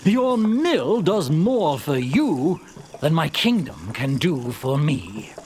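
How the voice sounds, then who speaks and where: A man's voice: on the high side, very animated, slow pace, darkish tone, slight background noise. A man voicing a grand, melancholy king in a storybook tale, speaking in a stately, emphatic, wistful way. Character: grand, melancholy king envying a humble miller. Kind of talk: storybook character voice.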